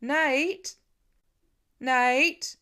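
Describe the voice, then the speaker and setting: A woman's voice: high voice, extremely slow, sharp tone, voice pushed up, full character voice. A protective father in his middle years, acted out by a woman narrator. He talks in wry asides and urgent calls, as a storybook character devoted to his family. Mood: frantic. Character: protective middle-aged father, gruff then frantic with worry.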